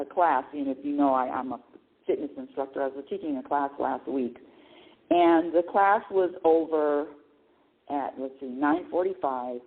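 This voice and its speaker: A middle-aged woman hosts a spiritual talk show, her voice swinging widely in pitch as she talks. She often restarts and repeats words and laughs between thoughts. The sound is narrow and phone-like. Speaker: radio show host. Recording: phone-like lo-fi line.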